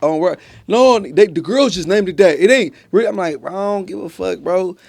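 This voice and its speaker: A man in casual conversation, speaking slangy, halting and repeating words. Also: high-pitched, animated, vocal fry, darkish tone. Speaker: host. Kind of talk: casual chat.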